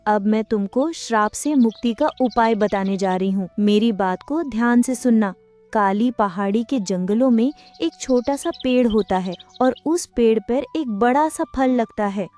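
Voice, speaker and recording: A woman voicing a kind crippled female goat in a Hindi cartoon, speaking gently. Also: fairly high pitch, measured pace, faint music behind, natural voice. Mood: helpful. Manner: gentle, forgiving. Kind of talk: cartoon voice acting.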